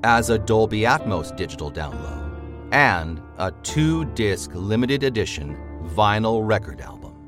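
A man's voice: fairly low pitch, sing-song, unhurried, over music. A man reading a scripted promotional announcement as the announcer.

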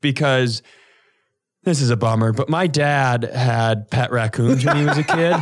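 A man co-hosting a podcast, telling comedic stories casually in an animated, sweary way and acting out dialogue. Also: medium pitch, a little slow, very noisy. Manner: animated, profane, acts out quoted dialogue. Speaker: podcast co-host.

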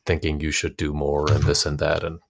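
A man's voice: very deep voice, monotone, a little fast, gravelly. An American man in his thirties, a podcast guest talking in an informal, rambling, slangy way. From United States. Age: 30s. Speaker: podcast guest, actor and musician. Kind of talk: casual interview chat.

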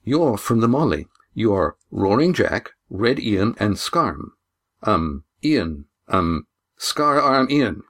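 A man voicing a quick-witted, bold but hesitant young woman in an audiobook story. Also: on the low side, big pitch swings, fairly bright tone, full character voice. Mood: bold, searching.

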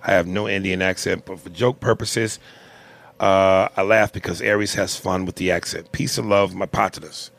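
A man's voice: low voice, steady tone, normal speed, vocal fry. A man with a Canadian accent, co-hosting a casual talk show, reading listener comments and answering with blunt, profane rants. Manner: blunt and profane, rising into loud emphatic rants. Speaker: podcast co-host.

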